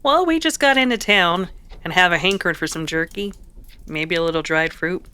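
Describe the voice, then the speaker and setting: A woman's voice: on the low side, normal speed, bright, forceful tone, clear, resonant tone. An actress playing a plucky, practical saloon woman in an Old West radio drama, plainspoken and folksy. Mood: guarded. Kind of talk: old-time radio western acting.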